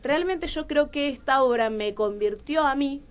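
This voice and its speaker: A woman with a fairly high voice and a Rioplatense accent talks openly about herself in a radio interview. She is animated, posing questions to herself and slipping into imagined dialogue as she explains. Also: normal speed, soft background music. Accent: Rioplatense Argentine Spanish. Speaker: guest playwright. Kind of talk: candid radio interview, personal storytelling. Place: radio studio.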